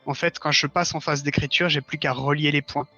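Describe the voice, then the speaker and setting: A man's voice: a little high, steady tone, fairly quick, bright, forceful tone, dull audio. A man chatting casually as a guest on a livestream, explaining things earnestly and giving advice. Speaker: interview guest, audio fiction author. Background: background music.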